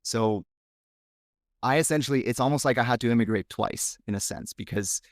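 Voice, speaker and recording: A man's voice: medium pitch, a little fast, no echo, clean studio sound. A man from Romania, whose first language is Romanian, speaking as a podcast guest in a frank, reflective, casual interview chat. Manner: frank, reflective, thinks aloud.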